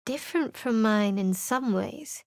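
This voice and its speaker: An actress playing a guarded, sardonic young woman adventurer in a fantasy video game, speaking in a measured, dry, clipped way. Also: a little high, expressive, no room sound. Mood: measured, storytelling.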